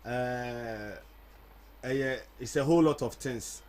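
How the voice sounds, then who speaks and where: A man's voice: mid-range voice, slow pace. A man from Ghana with a Ghanaian accent, a doctor, answers a talk show host's questions. He hesitates, restarts and repeats words as he explains. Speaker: guest, a doctor.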